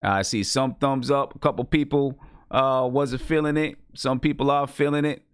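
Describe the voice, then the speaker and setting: A man's voice: mid-range voice, steady tone, moderate pace, clean tone, dry recording, clean studio sound. A man hosting a live music review in casual chat, often hesitating and repeating his words as he goes.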